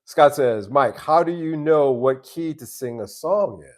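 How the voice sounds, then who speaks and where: A man with a very low voice hosting a casual live stream, answering viewer questions in an easygoing, rambling way with many restarts. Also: lively intonation, a little slow. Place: live stream from home base abroad.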